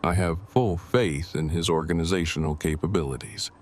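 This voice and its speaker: A man playing a formal, wordy, deadpan young father and husband in an audio drama, with precise phrasing. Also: sits low, moderate pace, slight background noise, natural voice. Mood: calm, reassuring. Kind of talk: fan audio drama acting.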